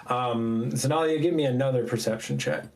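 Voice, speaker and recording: A man narrating an improvised tabletop game as game master, descriptive and halting as he builds the scene. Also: medium pitch, poor audio.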